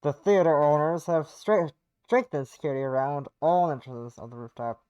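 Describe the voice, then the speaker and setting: Woman putting on a low, dull-sounding news-report voice. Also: mellow, clear, resonant tone, no room sound, lightly acted. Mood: formal. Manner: halting, declamatory. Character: formal news report being read out.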